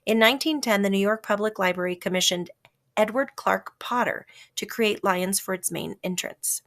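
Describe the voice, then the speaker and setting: A woman reading a children's story aloud as scripted narration, with a dramatic, expressive delivery that varies for effect. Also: mid-range voice, normal speed. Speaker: storybook reader.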